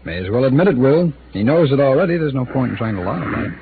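Frank weary town official, quick lively talk, tinny old radio sound. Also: male voice, medium pitch, gravelly, background noise. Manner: frank, resigned. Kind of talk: 1950s radio drama acting.

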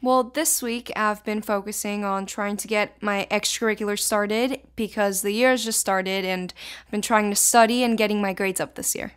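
A teenage girl from Israel whose first language is Hebrew, answering interview questions in long, flowing sentences. Her voice is smooth and clear, and her pitch sits in an ordinary middle range. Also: steady tone, moderate pace. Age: teens.

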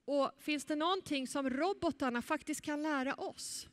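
A young woman moderating a conference keynote on stage, presenting from a script with a headset mic in a large hall. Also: high voice. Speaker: event moderator. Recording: echoey hall.

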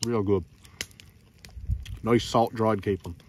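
A man's voice: lowish voice, fairly even intonation, unhurried, darkish tone, slight background noise. Chatty Newfoundland man outdoors. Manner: enthusiastic, chatty, addresses viewers as guys. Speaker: outdoor vlogger. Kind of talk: casual outdoor vlog chat. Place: outdoors by a river in snow. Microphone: phone or action camera held close.

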